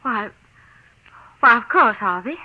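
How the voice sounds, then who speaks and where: An actress playing an anxious but quietly resolute wife in a 1940s radio drama, speaking with hesitant, earnest restraint. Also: female voice, on the high side, animated, slow pace. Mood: anxious, careful. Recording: dull vintage radio drama recording.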